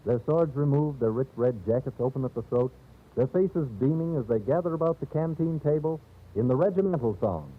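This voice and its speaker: A man's voice: medium pitch, animated, a little fast. A man narrating a scripted 1930s radio show as its host, with a theatrical, picture-painting delivery, on an old radio recording. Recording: tinny narrow-band 1930s radio recording.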